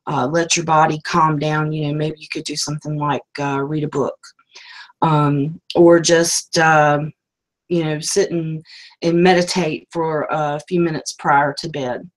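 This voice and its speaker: Female live-stream host, lower voice, casual and filler-heavy, voice going hoarse. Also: little pitch movement, measured pace, rough recording. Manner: casual, rambling, many ums. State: losing her voice. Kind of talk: live-stream business talk.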